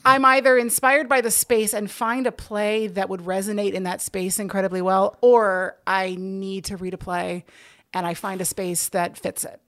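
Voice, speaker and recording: A woman speaking as an interview guest, a theater director explaining things in a casual, animated way with asides. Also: mid-range voice, normal speed.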